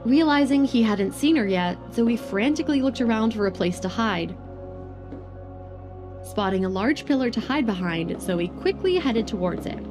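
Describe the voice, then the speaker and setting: A woman's voice: a little high, lively intonation, moderate pace, over music. A woman narrating a scripted romance drama as its storyteller.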